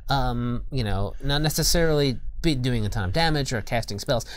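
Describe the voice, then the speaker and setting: Halting, casual man in an interview. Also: medium pitch, lively intonation. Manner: halting, groping for words. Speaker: game designer guest. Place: studio.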